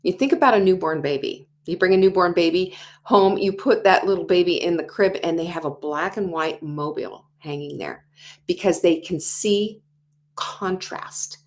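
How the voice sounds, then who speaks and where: A woman in her sixties talks straight to her listeners as the host of a motivational show. Her voice sits a little low, and she brings her points to life by acting out people's doubts in their own voices. Now and then she drops to a whisper for emphasis. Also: normal speed. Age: 60s. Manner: animated, acts out quoted inner voices, drops to whispers. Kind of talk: motivational talk show monologue.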